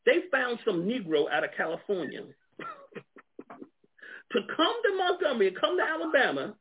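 A man's voice: extremely high pitch, sing-song, moderate pace, slight background noise. A man with a New York accent, a guest in a panel discussion, who stumbles and repeats words as he searches for them and chuckles as he talks. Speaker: guest speaker. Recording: tinny phone-like online call audio.

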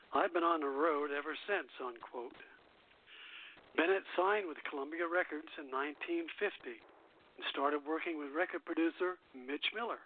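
An older man hosting a radio show, reading scripted music history and chatting casually between passages. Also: on the high side. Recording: thin phone-line audio.

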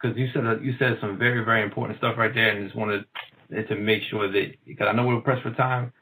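A man's voice: lowish voice, steady tone, brisk, pushed and bright, slight background noise, poor audio. Earnest man reading and explaining scripture. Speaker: scripture reader and co-host. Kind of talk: reading scripture, Bible study chat.

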